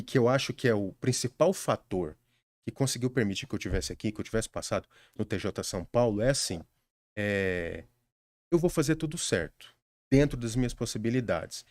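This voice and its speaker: A man's voice: medium pitch, a little mellow, no echo. A young adult man with a Brazilian accent, a podcast guest earnestly sharing study advice in casual conversation, with frequent restarts. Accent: Brazilian Portuguese.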